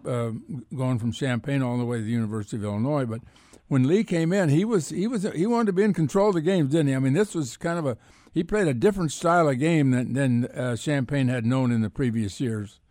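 An American man co-hosting casual sports radio talk, halting and repeating himself as he goes. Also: medium pitch, darkish tone, no echo, clean studio sound. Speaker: radio show co-host.

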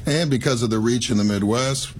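A man's voice: medium pitch, brisk, a little hiss or hum. A man with a Chicago accent, an airline executive speaking upbeat and promotional as a radio interview guest.

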